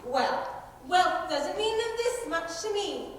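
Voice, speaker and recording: Bossy, mocking outlaw heroine, played by a young woman, high voice, theatrical stage delivery, recorded far off in a hall. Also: lively intonation, slow pace, heavily acted. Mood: proud, scornful. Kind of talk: high school stage comedy acting. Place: school auditorium stage. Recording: echoey hall, distant mic, noisy.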